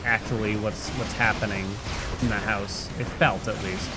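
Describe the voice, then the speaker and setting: A man's voice: lowish voice, steady tone, normal speed, low-quality recording. A man livestreaming a video game, musing aloud and chatting casually with his viewers in a conversational way. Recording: game music behind the voice.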